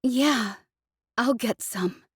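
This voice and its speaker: Woman reads as tearful young woman pilot, high, sobbing, breathy, storybook reading. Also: extremely slow, no room sound, studio quality, full character voice. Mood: dry, weary. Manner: angry, sobbing, swearing. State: crying.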